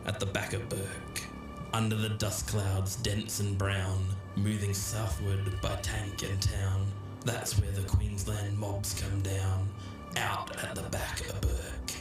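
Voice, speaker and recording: A man's voice: on the low side, completely flat delivery, slow pace. An Australian man co-hosting a podcast, reading and reciting scripted material. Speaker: podcast co-host. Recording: roomy echo, distant microphone, music behind.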